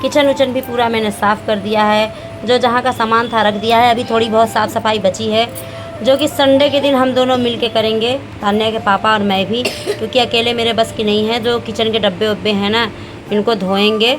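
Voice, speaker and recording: Woman, 30s, slightly hoarse, chatty homely vlog talk, rambling fillers, music in background. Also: on the high side, fairly even intonation, moderate pace. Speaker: family vlogger. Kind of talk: casual home vlog chat.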